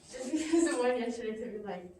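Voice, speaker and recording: A woman student rattling off her thoughts quickly, rambling with lots of "like". She sounds far from the mic in a very echoey classroom. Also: fairly high pitch, expressive, a little mellow, a little hiss or hum. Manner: rapid, rambling, full of "like". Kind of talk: casual classroom talk. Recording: very echoey, distant classroom pickup.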